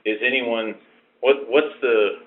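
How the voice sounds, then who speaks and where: A man's voice: medium pitch, fairly even intonation, slow. A man with an American accent asking questions in an inquisitive, informal way during a casual phone conversation. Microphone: telephone. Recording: tinny recorded phone call.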